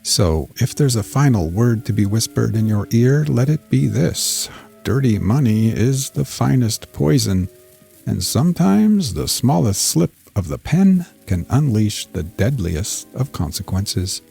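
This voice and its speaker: A man narrating a scripted story as a fiction podcast host, with a measured storytelling delivery. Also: medium pitch, sing-song, vocal fry, mellow, husky, faint music behind.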